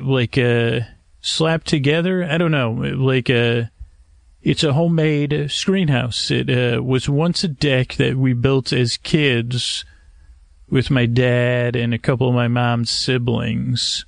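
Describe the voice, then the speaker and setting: A man hosting a bedtime podcast, rambling in a halting, meandering way, often interrupting himself and getting mixed up, with a creaky, gravelly voice. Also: medium pitch, no room sound, clean studio sound. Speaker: podcast host. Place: homemade screened outdoor porch at night.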